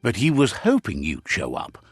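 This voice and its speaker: A man doing scripted narration for a video game in a measured storytelling manner. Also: lowish voice, wildly swooping pitch, husky, dry recording. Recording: game audio over music. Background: faint game music.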